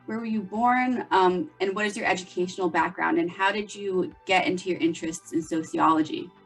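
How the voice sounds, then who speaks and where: A woman's voice: fairly low pitch, steady tone, brisk, faint music behind, rough recording. A young woman co-hosting a podcast, introducing the show and interviewing a guest in an upbeat, attentive way. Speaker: podcast co-host.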